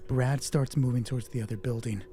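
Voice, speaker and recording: A man with a husky voice narrates a solo tabletop game casually, thinking aloud and swearing freely as he talks himself through rulings. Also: medium pitch, fairly even intonation, a little fast, dark tone, faint music behind. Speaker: solo roleplay player and narrator.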